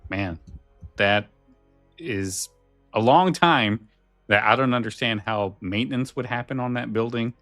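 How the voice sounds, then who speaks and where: A man's voice: medium pitch, a little slow, long pauses, fairly bright tone, soft background music. A man co-hosting a podcast in a casual, musing, informal way. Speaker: podcast co-host. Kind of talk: casual chat.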